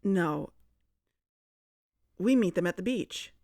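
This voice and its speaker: A woman's voice: fairly low pitch, animated, slow pace, warm tone. An actress playing a serious young woman investigator who hosts a podcast, in scripted narration and dialogue. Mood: guarded, resolute. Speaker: podcast host. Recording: music under the voice.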